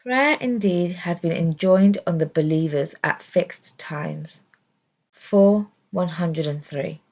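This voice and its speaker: Low, creaky woman reading scripted study. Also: slow pace, darkish tone. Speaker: reader of religious study text. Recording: dull, muffled lo-fi recording.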